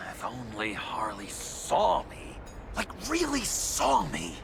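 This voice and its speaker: Unhinged lovestruck game villain, slow n creaky, breathy rambling w sudden outbursts. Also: male voice, medium pitch, huge pitch swings, background noise, full character voice. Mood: yearning. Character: lovesick, unstable, eccentric young male criminal. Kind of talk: video game voice acting.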